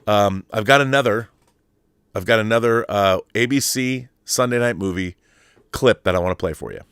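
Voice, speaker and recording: A male host with a low voice, talking off the cuff in a casual livestream. He rambles and circles back, restarting sentences and chuckling along the way. The sound is close and dry, with no room around it. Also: moderate pace, a bit bright, clean tone, no echo.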